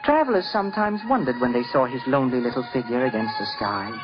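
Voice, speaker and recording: A man playing a tender, theatrical storyteller, narrating a scripted 1950s radio drama in a deliberate, expressive way. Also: mid-range voice, close to an everyday voice. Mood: sombre, gentle. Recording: dull 1950s radio recording. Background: music.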